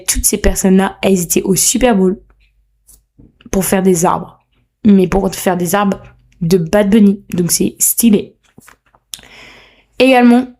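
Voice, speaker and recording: A woman's voice: medium pitch, warm tone. A young woman hosting a casual solo podcast, chatty, playful and self-mocking, with a slightly sore throat. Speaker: podcast host. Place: room at home.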